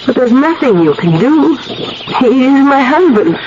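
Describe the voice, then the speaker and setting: An actress playing an anxious, pleading, unhappy wife in an old-time radio drama. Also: female voice, high-pitched, big pitch swings, unhurried. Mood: distressed, pleading. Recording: muffled, noisy old radio broadcast.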